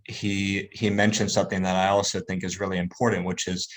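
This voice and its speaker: A man's voice: sits low, little pitch movement, fairly quick. Enthusiastic male interview host. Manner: enthusiastic, welcoming. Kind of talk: podcast-style interview introduction.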